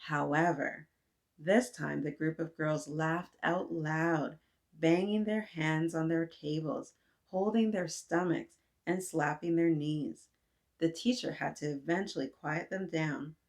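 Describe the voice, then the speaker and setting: A woman, reading a children's book aloud and gently pausing to talk with young listeners. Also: low voice, fairly even intonation. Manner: gentle, asks kids to reflect, frequent "right?". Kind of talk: read-aloud storytelling for kids. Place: indoors, small room with painted mural.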